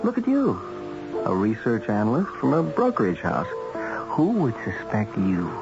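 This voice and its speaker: An American actor playing a smooth magazine editor who recruits contract killers in a 1970s radio drama, insinuating and matter-of-fact with casual hesitations. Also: male voice, lowish voice, wildly swooping pitch, moderate pace, heavily acted. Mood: coolly persuasive. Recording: muffled old radio broadcast, music bed.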